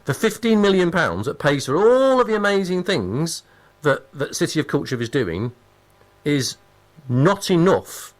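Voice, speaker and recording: A man's voice: a little high, big pitch swings, measured pace. A British man hosting a radio interview in a conversational style, repeating phrases for emphasis. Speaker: radio host.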